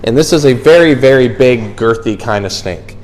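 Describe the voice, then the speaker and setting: A man's voice: mid-range voice, expressive, moderate pace, a little mellow, rough recording. A man with a mid-range voice presents as a zookeeper. He talks casually and explains things in an informal, educational way, as in an animal show with storytime.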